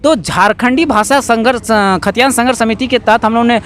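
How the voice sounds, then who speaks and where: A man's voice: extremely high pitch, normal speed, fairly bright tone, a little hiss or hum. A man from Jharkhand with a Jharkhandi accent answers interview questions as an activist, explaining emphatically with repeated phrases and rhetorical questions. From Jharkhand, India.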